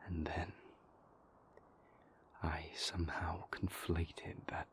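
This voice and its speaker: A man playing a brooding, weary vampire in an intimate audio roleplay, with a breathy, creaky voice and halting, deliberate, formally worded confessions. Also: deep voice, flat delivery, in a whisper, exaggerated voice. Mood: somber, measured. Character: brooding, weary male vampire, a king's conflicted enforcer and lover.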